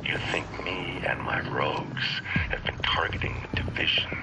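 An actor playing a calculating rogue agent in a video game, coaxing and deliberate, with theatrical pauses. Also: male voice, steady tone, whispered, low-quality recording. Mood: coaxing, hushed. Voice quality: gravelly, breathy low voice. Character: calculating rogue agent, smooth-talking male villain. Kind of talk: video game cutscene acting.

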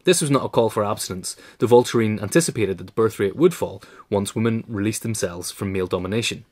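A man's voice: lowish voice, quick pace, darkish tone. A man narrating a scripted essay as its reader.

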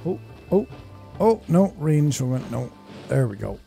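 A man's voice: fairly high pitch, big pitch swings, unhurried, creaky. A man in his thirties giving informal, rambling commentary on a game playthrough. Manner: informal, rambling, mild swearing. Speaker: gaming streamer. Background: game music.

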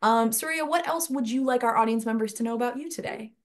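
A woman's voice: on the high side, fast. A young woman hosts a webinar panel from her home on a headset mic. She chats easily and drifts into long, rambling anecdotes while keeping the session moving. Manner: chatty, rambling storyteller. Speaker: host.

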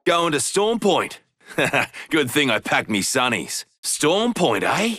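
A rowdy, cheerful Australian mercenary from a video game. His voice is bright and bouncy, swinging around with jaunty swagger. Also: fairly high pitch, sing-song, takes it slowly, heavily acted. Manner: jaunty, colloquial.

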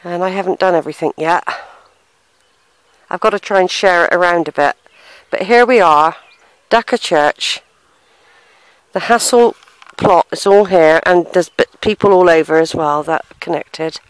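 A woman's voice: lowish voice, moderate pace, clean tone, no room sound. A middle-aged woman vlogging as she walks through a country churchyard, thinking aloud, sighing and reading gravestones in a hesitant, musing way. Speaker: family history vlogger. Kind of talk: casual walking vlog, thinking aloud. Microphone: handheld camera, unseen narrator behind it.

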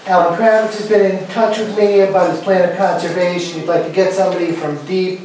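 A man's voice: fairly high pitch, very echoey, noisy background. A man standing in a small meeting room, reading a report to a town board with fillers and restarts, recorded by a far camera. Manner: reads updates from paper, with fillers. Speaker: state representative briefing a town board.

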